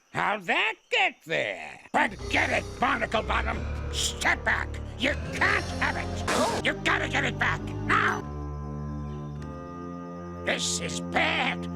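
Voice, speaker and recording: Grouchy old cartoon ape, gravelly, high and wildly swooping, boastful, insult-slinging. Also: male voice, huge pitch swings, takes it slowly, exaggerated voice. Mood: cranky. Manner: blustering, hurls goofy insults, mutters to himself. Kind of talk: cartoon voice acting. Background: cartoon music and sound effects.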